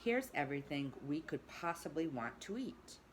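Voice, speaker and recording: A woman voicing a boastful, excitable rich male toad in a read-aloud storybook, loud and gushing. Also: low voice, moderate pace, a little hiss or hum. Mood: exuberant.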